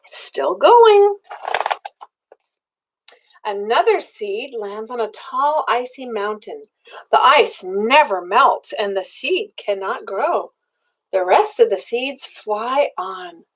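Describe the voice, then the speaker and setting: An older woman reads a children's storybook aloud in a high voice that rises and falls widely. She takes her time over the words, like a grandmother with a small listener. Her delivery is expressive and child-directed, with simple questions woven into the reading. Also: poor audio.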